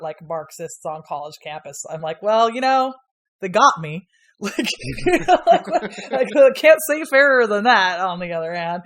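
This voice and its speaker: Rambling, laughing woman podcast guest. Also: on the low side. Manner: rambling and laughing, full of "like" and "you know", swears casually. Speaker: guest, university history lecturer. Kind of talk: casual podcast chat.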